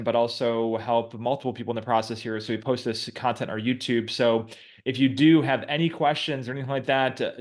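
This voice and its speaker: A man in his thirties hosting a casual coaching chat, relaxed and talking with many filler words. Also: medium pitch. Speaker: host coaching a guest.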